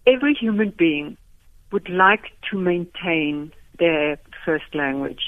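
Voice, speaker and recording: A woman's voice: lowish voice, expressive, dry recording. Older Afrikaans-accented woman on the phone. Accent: Afrikaans-influenced South African. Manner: enthusiastic, quick explanatory talk. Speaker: professor, guest expert. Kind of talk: radio phone interview. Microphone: telephone. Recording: dry, tinny phone line.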